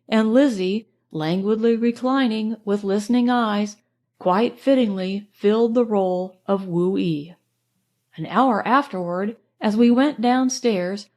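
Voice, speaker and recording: A woman narrates an audiobook with a somewhat high voice. Her reading is scripted literary storytelling in the first person. She keeps it measured and expressive.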